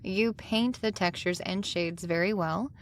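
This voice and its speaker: A woman playing a demanding, thoughtful high school art teacher in an audio drama, speaking in a deliberate, instructive way. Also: a little hiss or hum, lightly acted. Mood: earnest, instructive.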